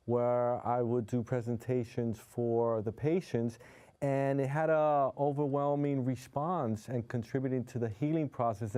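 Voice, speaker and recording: A man's voice: medium pitch, dark tone. A man from New York City whose first language is Spanish, a hypnotherapist guest talking earnestly and openly in a TV studio interview. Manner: heartfelt, open, speaks with conviction. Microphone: studio TV set.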